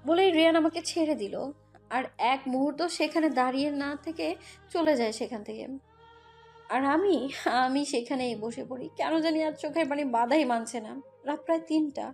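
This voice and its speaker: A woman's voice: high voice, darkish tone. A woman playing a hurt, defiant young mother who narrates her own romantic audio story, with an emotional, scolding manner. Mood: hurt narration. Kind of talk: first-person Bengali audio story narration and dialogue. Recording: music bed behind the voice.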